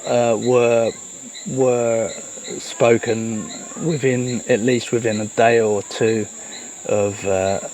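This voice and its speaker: A person giving a casual, reflective monologue, speaking earnestly and haltingly with repeated words. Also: mid-range voice, a little mellow. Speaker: host of a solo talk. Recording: noisy, with chirping insects behind.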